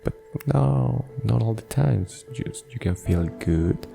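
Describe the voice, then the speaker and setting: A man speaking in a soft-spoken ASMR style, gently and hesitantly guiding relaxation as a personal therapist. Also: mid-range voice, expressive, slow, gravelly. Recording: soft guitar music behind the voice.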